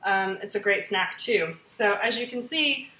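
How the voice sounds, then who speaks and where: A woman's voice: medium pitch, unhurried, pushed and bright. An American woman in her thirties giving a casual, chatty, informal cooking demo to camera in her home kitchen, as a fitness host. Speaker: fitness gym trainer hosting a meal prep series. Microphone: camera mic a few feet away. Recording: echoey kitchen, mic at a distance.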